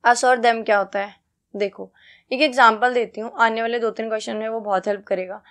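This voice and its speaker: A woman tutor with an Indian accent and a fairly high voice walks students through a lesson. She reads out key points, then explains them in a conversational way, checking in often and posing rhetorical questions. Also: fairly even intonation, brisk, a bit bright. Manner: mixes Hindi and English. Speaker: teacher, exam-prep tutor.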